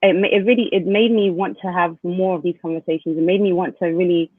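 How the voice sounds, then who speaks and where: A man hosts an online panel, talking in a casual, halting way with many restarts. His voice sits a little high. It comes through a tinny, phone-like line with a dry sound. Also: fairly even intonation, dry recording. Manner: hesitant, restarts often, many fillers. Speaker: panel host. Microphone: video call.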